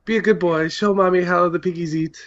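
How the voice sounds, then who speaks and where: Man playing doting, over-dramatic mother, gushing delivery, highish pitch, slightly muffled recording, amateur comedy read. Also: steady tone, a little fast, fairly bright tone. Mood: cooing. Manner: gushing, starts lines with "Oh my God".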